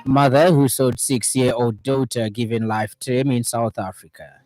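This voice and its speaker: A man with a Zambian accent reading a scripted radio news bulletin in a formal, measured way, phrase by phrase. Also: mid-range voice, steady tone, over music, low-quality recording.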